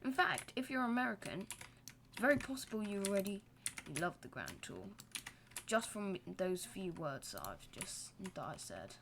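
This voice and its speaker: A woman's voice: medium pitch, animated, moderate pace, gravelly, noisy background. A young woman giving casual, self-deprecating commentary while building in a game, with rambling joking asides. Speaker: gaming channel host.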